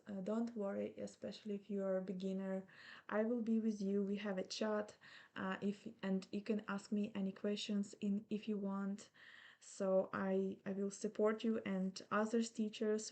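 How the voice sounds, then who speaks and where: A woman from Ukraine in her thirties, speaking English with a Ukrainian accent as she patiently talks through an embroidery tutorial step by step. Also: mid-range voice. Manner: slow, patient, gently reassuring instruction. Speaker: embroidery teacher.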